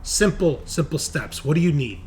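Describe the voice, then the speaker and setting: A man's voice: on the high side, expressive, normal speed, close to an everyday voice. A man voicing an impatient armed thug who makes curt demands in a tabletop game. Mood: impatient, demanding. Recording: home recording with clear background noise.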